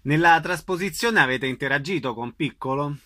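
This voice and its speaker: Bright, higher-pitched male radio interviewer. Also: moderate pace.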